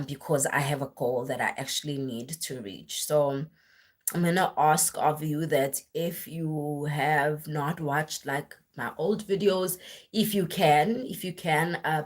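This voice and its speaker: Young woman, husky, low-pitched, worn voice, casual rambling live-stream chat. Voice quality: husky, deep voice. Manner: rambling, filler-heavy, self-correcting. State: voice worn and deeper after a weekend of church singing.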